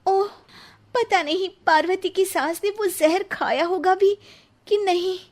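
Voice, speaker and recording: A woman's voice: very high-pitched, unhurried, a little mellow. A woman voicing a quarrelsome young daughter-in-law in a cartoon, taunting and bickering. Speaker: voice actor. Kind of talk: cartoon voice acting.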